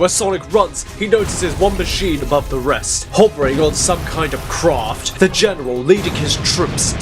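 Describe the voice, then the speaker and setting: A man giving theatrical, emphatic scripted narration for a superhero audio drama. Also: on the high side.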